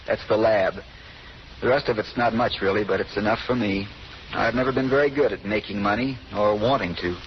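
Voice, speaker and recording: An actor playing a gentle, modest man in love in an old radio drama, tender and reflective. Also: fairly low pitch, fairly quick, close to an everyday voice. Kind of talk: 1930s-40s radio drama acting. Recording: noisy dull old radio recording.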